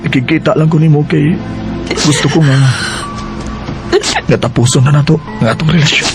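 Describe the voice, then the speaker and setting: Actor as an emotive Filipino boyfriend, music behind. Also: male voice, on the high side, normal speed, close to an everyday voice. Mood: apologetic, firm. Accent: Cebuano Filipino. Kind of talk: Philippine radio drama acting.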